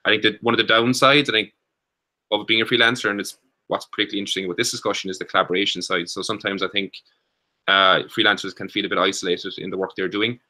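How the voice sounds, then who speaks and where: An Irish man introducing himself on an online panel over a video call, speaking haltingly with many restarts and fillers. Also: lowish voice, fairly even intonation, bright, forceful tone. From Ireland. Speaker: panelist, co-working space founder.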